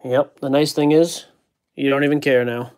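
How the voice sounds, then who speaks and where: A man's voice: mid-range voice, fairly even intonation, normal speed, a little mellow, smooth. Joking man ripping card packs. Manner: joking, rattles off player names. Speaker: card break host. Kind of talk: casual card-opening chat. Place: indoor card-breaking table.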